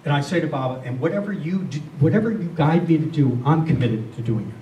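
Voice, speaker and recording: Man, mellow relaxed voice, earnest and deliberate, reflective spiritual talk, roomy distant recording. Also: fairly high pitch, highs cut off. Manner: earnest, deliberate, with repeated phrases. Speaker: spiritual speaker. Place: room with a listening group. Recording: roomy, distant mic, faint noise.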